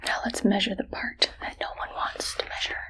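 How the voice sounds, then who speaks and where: Whispering, friendly but evil woman scientist, acted out. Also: lightly acted. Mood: gleeful, clinical. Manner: soft, playful, mock-clinical, unhurried. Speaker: ASMR roleplay performer. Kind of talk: whispered ASMR medical roleplay.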